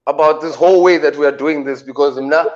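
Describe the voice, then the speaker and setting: A South African man with a deep voice, a radio co-host doing playful comic banter with drawn-out punchlines. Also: steady tone, lo-fi sound.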